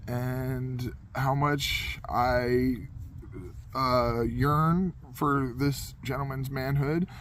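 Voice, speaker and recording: A man's voice: medium pitch, slight background noise. A man casually telling a comedic anecdote in a rambling, self-deprecating way, as a podcast host would.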